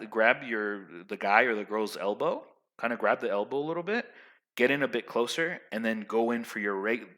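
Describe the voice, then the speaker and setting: A young man giving casual social advice to his viewers in a loud, emphatic, rambling way, full of restarts. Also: lowish voice.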